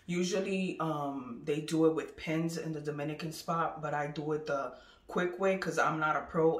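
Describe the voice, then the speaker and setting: A woman in her thirties chatting casually to a phone camera at arm's length, rambling with lots of fillers. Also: sits low. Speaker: vlogger. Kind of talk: casual vlog chat. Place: bedroom, then a moving car. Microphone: handheld phone camera at arm's length.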